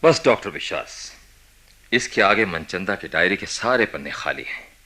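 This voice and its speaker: Radio drama: intense experimenting doctor, very low, sharp-edged voice, authoritative and probing. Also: male voice, animated, exaggerated voice. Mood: grave, musing. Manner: deliberate, probing, commanding, dramatic pauses. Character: authoritative, intense senior psychiatrist running experiments. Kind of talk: radio play acting, Hindi mixed with English.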